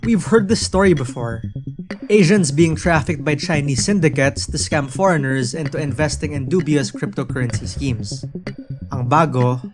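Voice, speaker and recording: A man with a Filipino accent hosting a podcast and reading scripted news narration. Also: on the high side. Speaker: podcast host and reporter. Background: music.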